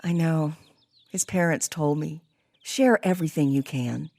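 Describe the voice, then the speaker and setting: A woman playing a gentle, sincere young woman grieving a lost love, speaking tender, earnest dialogue in an audiobook. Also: low-pitched, a little slow, vocal fry, dry recording. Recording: music under the voice. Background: music.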